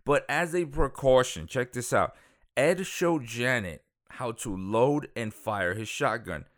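A man's voice: medium pitch, slow pace, dry recording, studio quality. A man from the Dominican Republic who grew up in Queens, casually telling a true crime story with lots of fillers, restarts and asides. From Dominican Republic, raised in Queens. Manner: rambling, full of fillers and self-corrections, chuckles aside. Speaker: true crime podcast host.

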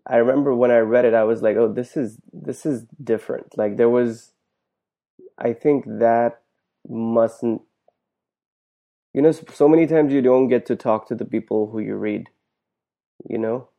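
A man's voice: mid-range voice, moderate pace, vocal fry, pauses often. A man, a Hindi speaker, hosting a conversational interview. He talks in thoughtful, rambling turns and often restarts his sentences. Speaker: podcast host. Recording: dull lo-fi audio.

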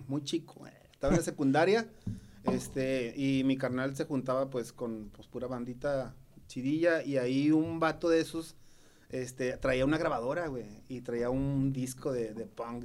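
A middle-aged man with a Mexican Spanish accent, a podcast guest telling stories in slangy, halting speech full of fillers. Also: mid-range voice, fairly even intonation, a little mellow, slight background noise. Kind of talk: casual podcast chat.

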